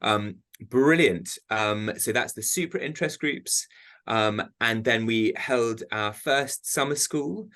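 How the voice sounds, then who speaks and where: A man's voice: medium pitch, slow, sharp tone. A man giving an update as an interest group organiser, chatty and full of ums. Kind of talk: meeting update. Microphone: computer mic on a video call.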